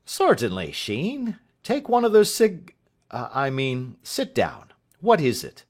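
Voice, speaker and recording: A man reading aloud, voicing an excitable adult schoolmaster who asks question after question. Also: mid-range voice, slow, voice pushed up, exaggerated voice. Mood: eager. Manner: fussy, exclaiming, questioning.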